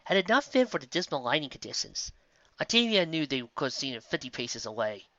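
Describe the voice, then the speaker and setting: A narrator reading a scripted fantasy story aloud in an expressive storytelling style. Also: medium pitch, fairly quick, dry recording, dull audio. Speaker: fiction narrator.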